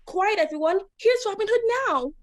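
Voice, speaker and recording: A woman playing an excitable, shrill storybook companion in a 1940s-style radio comedy. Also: measured pace. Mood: excited hush.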